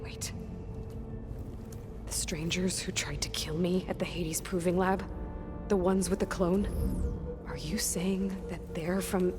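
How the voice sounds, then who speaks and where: A woman's voice: lowish voice, steady tone, takes it slowly, long pauses. An actress voicing a determined young woman hunter in a video game cutscene, asking direct, probing questions. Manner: direct, inquisitive. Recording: game cutscene with music, distant.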